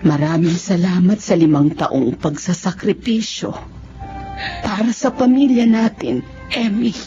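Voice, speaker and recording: An actress playing a forgiving elderly Filipino mother and grandmother in a radio drama, speaking tenderly and earnestly in drawn-out phrases. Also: fairly low pitch, natural voice. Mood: grateful, tender. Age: older. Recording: dull lo-fi audio with music underneath.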